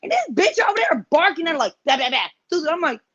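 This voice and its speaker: A woman guest on a podcast, telling a story in a casual, profane, animated way and acting out the voices she quotes. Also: high-pitched, fast talker, lo-fi sound.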